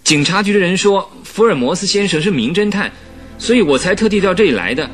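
A man's voice: high voice, expressive, brisk, fairly bright tone, faint music behind. A man playing a spoiled, impatient young nobleman in a radio drama, fussy and demanding. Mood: indignant. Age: young adult.

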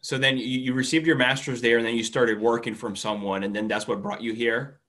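A man hosts an interview podcast with a medium-pitched voice. He speaks in a conversational presenting style, introducing things and putting questions to a guest. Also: steady tone, brisk, fairly bright tone. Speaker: podcast host. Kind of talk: conversational interview show hosting.

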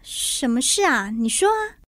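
A woman's voice: high-pitched, very animated, unhurried, a bit bright, dry recording, clean studio sound, heavily acted. A woman acting in a radio drama as a wealthy, generous, breezy lady with a showy manner.

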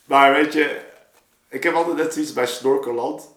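A man talking casually and reflectively as a guest storyteller, halting and thinking aloud, recorded in an echoey room. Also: a little high, a bit bright. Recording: roomy, echoing space.

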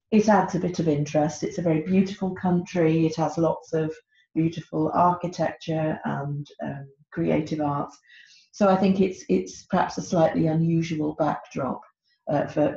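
A woman's voice: sits low, creaky, warm tone. A middle-aged British woman, an author on a podcast, talking hesitantly with many ums. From Britain. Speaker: author guest. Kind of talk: podcast interview. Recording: echoey, dull lo-fi sound.

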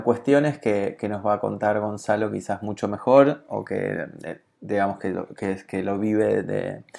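Un conductor de radio argentino que comenta sin guion, con muchas pausas, titubeos y frases que retoma. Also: male voice, lowish voice, gravelly. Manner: hesitant, many restarts and fillers. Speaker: radio host. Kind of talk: unscripted radio commentary.

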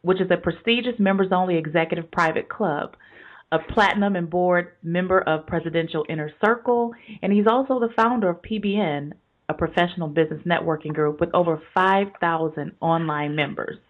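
A woman hosting a business radio interview, with an energetic, promotional delivery. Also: on the low side, brisk, narrow, tinny audio. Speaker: podcast host.

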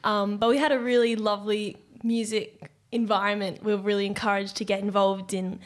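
A woman's voice: medium pitch, little pitch movement, a little fast. A young woman with an Australian accent, a musician guest telling stories earnestly in casual chat. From Western Australia.

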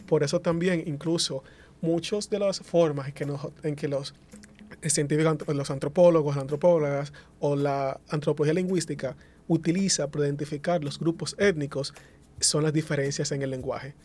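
A man's voice: fairly high pitch, steady tone, moderate pace, a little mellow. A man on a panel explaining a point about language and identity in a lecturing way, with fillers and restarts. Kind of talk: explanatory academic talk.

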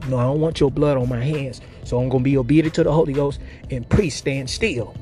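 Impassioned preacher, swooping cries over faint music. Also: male voice, steady tone, brisk, dark tone. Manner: call-and-response preaching, swooping from low murmurs to high cries. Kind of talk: impassioned sermon.

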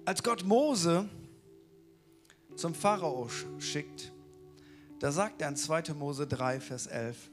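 A man's voice: fairly high pitch, lively intonation, takes it slowly, pauses often, over music. A man giving a casual, colloquial sermon who keeps asking the listeners rhetorical questions. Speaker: preacher.

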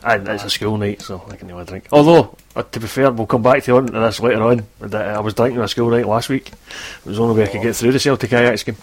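A Scottish man hosting a football podcast, chatting in a relaxed way and asking his guests questions. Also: on the low side, quick pace, few pauses. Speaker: podcast host. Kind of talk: casual chat.

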